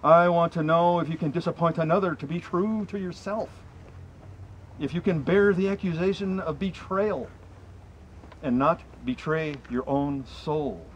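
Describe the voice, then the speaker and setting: A middle-aged man reciting poetry to a small group outdoors, with earnest, deliberate and emphatic phrasing. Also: a little high. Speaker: poem reader, presenter. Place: outdoors in a wooded area. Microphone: camera mic a few meters away. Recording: slightly muffled outdoor camera audio, faint ambient noise.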